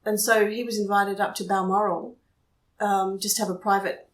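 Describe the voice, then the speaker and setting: An Australian woman working as a photojournalist, telling stories in a chatty, lively way during a casual interview at her home. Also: mid-range voice, moderate pace, reverberant, low-quality recording. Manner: chatty, animated storyteller. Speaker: photojournalist guest. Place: room in her house.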